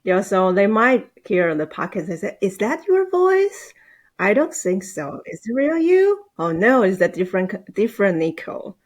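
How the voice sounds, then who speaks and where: A woman, a Mandarin speaker, chatting on a podcast in halting, hesitant English. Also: medium pitch, sing-song. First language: Mandarin Chinese. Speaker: podcast guest, team manager. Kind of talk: casual podcast conversation.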